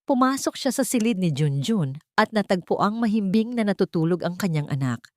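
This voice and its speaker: A woman's voice: mid-range voice, animated, a little fast, darkish tone, no echo, studio quality. A woman storyteller reading scripted, dramatic narration of a romance drama.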